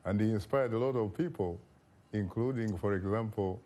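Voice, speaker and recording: A man hosting a broadcast interview, asking measured questions with frequent pauses and fillers. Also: on the low side, dark tone. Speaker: talk show host.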